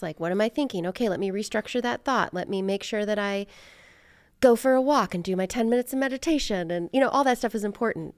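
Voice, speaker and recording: A woman's voice: mid-range voice, vocal fry, clear, resonant tone. A middle-aged American woman hosting a podcast, talking casually and reflectively and thinking aloud in an informal way. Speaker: podcast host; therapist.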